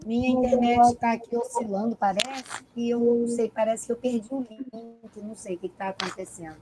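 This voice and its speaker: A woman's voice: on the high side, fairly even intonation, brisk. A woman taking part in an online workshop over a video call, talking casually. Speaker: workshop participant. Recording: noisy call line with clicks.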